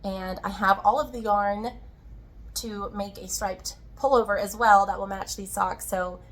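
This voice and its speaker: A woman hosts a casual craft vlog in a friendly, chatty manner. Her mid-pitched voice sounds a little hoarse and worn, as if getting over being sick. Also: fairly even intonation, unhurried. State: slightly hoarse, tired-sounding from recovering from illness. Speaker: podcast host, yarn dyer and shop owner. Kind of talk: casual crafting video chat.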